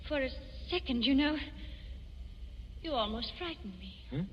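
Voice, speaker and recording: An actress playing a young wife, strained, irritable and wary, clipped and defensive in 1940s radio suspense style. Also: female voice, high-pitched, expressive, measured pace. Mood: nervous. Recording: reverberant, muffled, noisy old radio recording.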